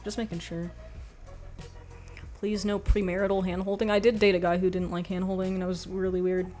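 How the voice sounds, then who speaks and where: A young woman with a quiet voice hosting a livestream, reading chat and chatting frankly in a rambling way, with lots of "like". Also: mid-range voice, flat delivery, brisk, soft background music. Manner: rambling, frank, laughs often, says "like" a lot. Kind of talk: casual chat.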